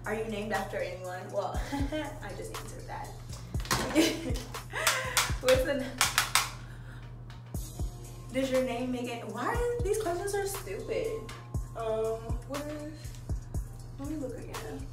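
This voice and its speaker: A young woman from Maryland talks candidly and laughs easily as she curls her hair at home. Her fairly high voice sounds distant and echoey, picked up by a phone set away from her, with music playing clearly behind. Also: animated, slow pace, pauses often. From Maryland, USA. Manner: chatty and candid, laughs often, swears casually. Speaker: vlogger. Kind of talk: casual chat while curling hair. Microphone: phone camera.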